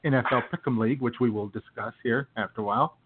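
A man's voice: mid-range voice, fast, slightly rough. A man co-hosting a podcast with casual, joking banter and mock-serious remarks. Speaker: co-host of a comedy podcast. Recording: tinny phone-like internet radio audio.

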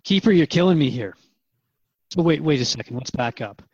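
A man's voice: fairly high pitch, lively intonation, brisk, darkish tone, breathy edge, dry recording, dull audio. A man playing a curious human radio interviewer, informal and slightly bemused, in a conversational drama. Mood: puzzled, curious.

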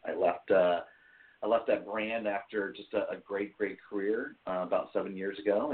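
A fairly low-voiced man, friendly and upbeat, introducing himself on a live show. His voice comes through a thin, phone-like line. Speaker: guest, speaker and author. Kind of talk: casual interview talk.

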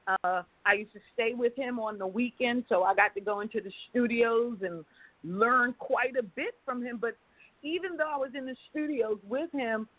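A woman's voice: a little high, moderate pace, dry recording. A woman speaking as an interview guest, telling stories in a casual, conversational way over a phone line. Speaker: interview guest, company founder. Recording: dry, tinny phone line.

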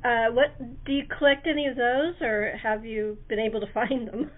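Higher-voiced woman interviewer on phone-like line. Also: fairly even intonation, normal speed. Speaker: interview host. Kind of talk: casual interview chat. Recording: narrow-band phone-like radio line.

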